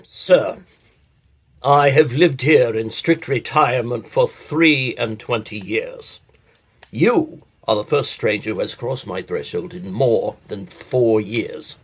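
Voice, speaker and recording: Husky, imperious reclusive scholar played by a man. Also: medium pitch, takes it slowly. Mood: stiffly courteous. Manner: formal, imperious, stiffly courteous. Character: stern, reclusive scholarly master of a lonely house. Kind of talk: period audio drama acting.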